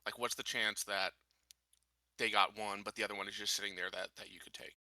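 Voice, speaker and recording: A man's voice: lowish voice, steady tone, fairly quick, sharp tone, no room sound, studio quality. A man playing a tabletop game, talking casually and arguing his reasoning through. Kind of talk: casual strategy talk during a roleplaying game.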